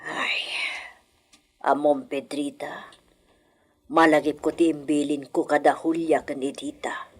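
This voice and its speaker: An actress playing an elderly, dignified hacienda matriarch in a Filipino radio comedy-drama, wistful and solemn, with a Filipino accent. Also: female voice, low-pitched, little pitch movement, measured pace, pauses often, breathy edge. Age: older.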